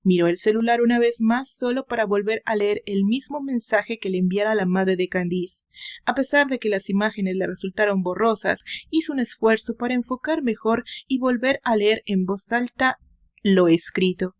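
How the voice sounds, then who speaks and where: A woman's voice: medium pitch, moderate pace. A woman with a Mexican accent giving a dramatic scripted narration of a story as its author and narrator.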